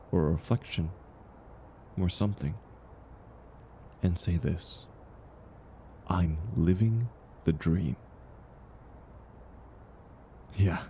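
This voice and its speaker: A man playing a kind, world-weary drifter in an audio roleplay, talking gently and unhurriedly to encourage a stranger. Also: deep voice, gravelly, a little hiss or hum, full character voice. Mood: gentle, earnest. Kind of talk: intimate comfort audio roleplay.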